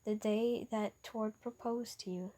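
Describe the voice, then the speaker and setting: Slow, halting woman telling a fan story. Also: mid-range voice, steady tone, warm tone. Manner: halting, with stumbles and restarts. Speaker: storyteller and video maker. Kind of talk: reading an interactive fan story aloud.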